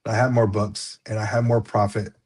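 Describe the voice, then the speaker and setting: A low, husky man talks as a guest on a live stream, heard over a remote video call. He rambles easily through his thoughts, piling on fillers and restarting sentences. A slight lisp comes through now and then. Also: level tone, a little fast, a little mellow. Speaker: author guest. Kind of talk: casual live-stream interview.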